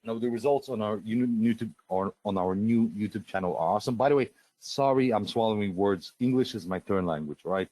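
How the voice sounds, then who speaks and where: A middle-aged man whose first language is Russian presents software on a live sales webinar, walking through features in an eager pitch full of fillers and restarts. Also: brisk, no room sound. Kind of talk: live sales webinar, screen-share walkthrough.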